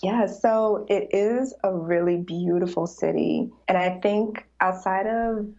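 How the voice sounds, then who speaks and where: A woman's voice: medium pitch, slow, gravelly, dark tone. A woman guest on a podcast, chatting in a reflective way with many "you know" fillers. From United States. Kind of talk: casual interview chat. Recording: dull lo-fi sound.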